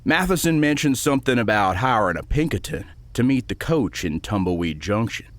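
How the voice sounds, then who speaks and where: A man's voice: medium pitch, very animated, a little fast, dry recording, lightly acted. Guy playing old frontier banker, flustered and folksy, voice jumps around a lot, real dry sound. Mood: wary, explaining. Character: nervous, mannerly old banker in a western. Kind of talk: western audiobook character acting.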